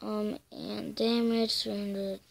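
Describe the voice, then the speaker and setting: Raspy-voiced woman with a gravelly edge. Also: medium pitch, level tone. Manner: halting and filler-heavy, drifting between loud bursts and mumbles. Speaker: channel host. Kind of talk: casual game commentary over a screen recording.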